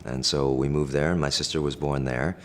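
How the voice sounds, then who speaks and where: A middle-aged man, a native Korean speaker raised in the United States, giving thoughtful, measured interview answers with frequent fillers and restarts. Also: a bass voice, steady tone. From Korea, raised in Pennsylvania.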